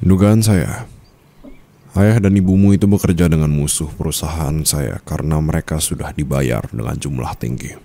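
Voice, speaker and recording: Man acting a very deep, gravelly mafia boss husband. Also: warm tone, exaggerated voice. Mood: calm, calculating. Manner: slow, teasing, smug, with low chuckles and hums. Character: calculating, teasing young male mafia boss husband, coolly dominant. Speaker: ASMR roleplay voice actor. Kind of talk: intimate ASMR roleplay acting.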